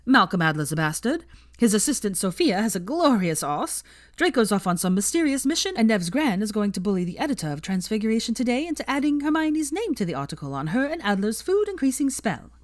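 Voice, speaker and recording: A woman's voice: a little high, sing-song, brisk, dry recording, clean studio sound, pitched above the performer's own voice. A woman voicing a brash, theatrical, foul-mouthed young woman in an audiobook story, gushing and emphatic. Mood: brisk, smug. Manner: gushing, casual, emphatic, swearing. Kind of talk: fan fiction audiobook character voice.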